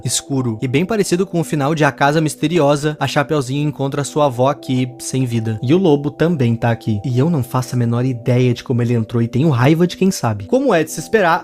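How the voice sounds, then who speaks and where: A man narrating a commentary video in a casual, sarcastic, critical way, full of rhetorical questions. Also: fairly high pitch.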